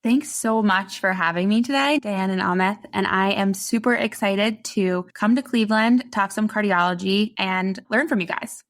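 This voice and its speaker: A woman's voice: medium pitch, normal speed, hardly any pauses. A woman serving as guest host on a medical podcast, sounding bubbly and eager.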